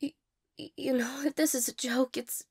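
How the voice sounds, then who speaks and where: Woman acting a high, stammering, insecure young woman. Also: steady tone, normal speed, slightly rough, heavily acted. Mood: self-doubting, hesitant. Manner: hesitant, stammering, apologetic, breaking into whispers. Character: shy, insecure, tearful young woman being asked out. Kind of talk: intimate romantic audio roleplay acting.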